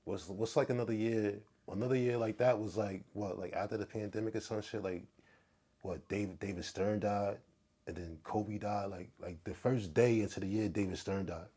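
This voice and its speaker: A man's voice: on the low side, fairly even intonation, moderate pace, mellow, husky. A man, a rapper guesting on a podcast, talking in a loose, slangy, rambling way. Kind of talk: casual conversation.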